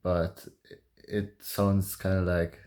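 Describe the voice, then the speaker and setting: A man in his early twenties speaking softly and calmly in a halting, hesitant way, thinking aloud in a personal confessional vlog. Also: deep voice. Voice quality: soft voice. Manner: calm, halting, hesitant with long pauses. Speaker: vlogger.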